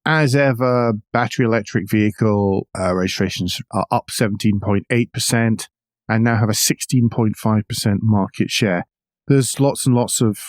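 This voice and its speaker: A man hosting a podcast, explaining the news in a measured way with wry asides. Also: lowish voice, darkish tone, no echo, studio quality. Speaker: podcast host. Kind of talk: news discussion with commentary.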